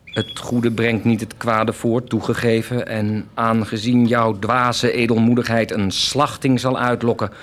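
An actor playing a stern, stubborn baker turned rebel prophet, measured and accusing, in a theatrical radio drama. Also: male voice, medium pitch, level tone, a little hiss or hum, close to an everyday voice. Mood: stern, insistent.

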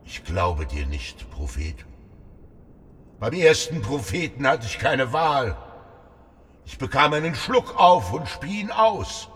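An actor playing a brooding, stubborn old whale in a literary radio drama, speaking solemnly and deliberately. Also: male voice, medium pitch, a bit bright, long reverb tail. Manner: solemn, slow, deliberate, retelling and questioning.

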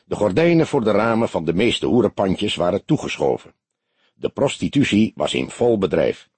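A man reads a scripted detective novel as an expressive audiobook narrator. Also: on the low side, normal speed, husky, no echo. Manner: expressive storytelling with acted dialogue.

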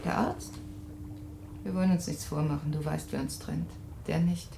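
Creaky-voiced wistful woman refugee, roomy sound. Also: deep voice, fairly even intonation, moderate pace. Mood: pressing. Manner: wistful, searching, dramatic phrasing. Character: anxious, tender woman refugee parting from her lover. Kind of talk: intimate radio play dialogue. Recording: roomy sound with faint ambient noise.

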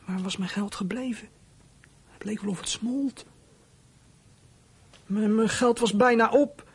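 An actor playing a troubled runaway boy in a Dutch radio play, with a husky voice and halting, guarded delivery. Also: mid-range voice. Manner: hesitant, halting, guarded answers. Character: runaway boy, evasive, troubled, inward-looking. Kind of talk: Dutch radio play acting with inner monologue.

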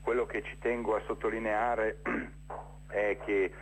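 A man speaking spontaneously as an interview guest, explaining things with mid-phrase pauses and repeated words for emphasis. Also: fairly low pitch, level tone, soft background music, rough recording. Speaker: interview guest, theatre director presenting a festival. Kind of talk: spontaneous informational interview talk.

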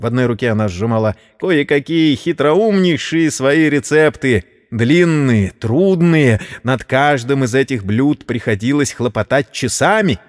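A man's voice: mid-range voice, lively intonation, a little slow, in a large hall. A man narrating a scripted story in a dramatic audio-play style.

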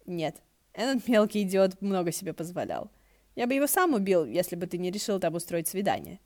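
A woman's voice: lowish voice, very animated, brisk, a little mellow. A woman voicing a prickly, awkward male ghost in an audiobook, snide and muttering. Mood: grumbling.

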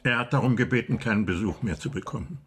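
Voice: mid-range voice, lively intonation, quick pace, a bit bright, slightly rough. An actor playing a guarded, unyielding police chief in a German radio drama, speaking tersely and formally. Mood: stern, guarded. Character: guarded, curt police chief refusing a teenage girl's pleas.

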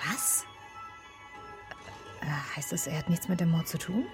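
A woman playing a sharp, inquisitive police detective in a naturalistic radio drama, dry and questioning in manner. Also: low-pitched, a little slow, soft background music. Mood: brisk, questioning.

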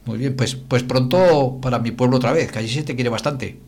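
A man's voice: medium pitch, a little fast, darkish tone. A man hosting a local radio show, casual and joking with his guest, speaking in short interjections. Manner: friendly, joking, brief interjections. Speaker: radio host.

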